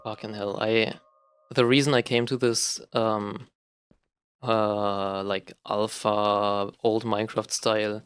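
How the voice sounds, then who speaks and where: German-accented young guy, low and monotone, halting casual gaming chat. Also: clear, resonant tone, no echo. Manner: rambling, halting, with many fillers and restarts. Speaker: gaming vlogger. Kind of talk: casual unscripted talk while playing a game.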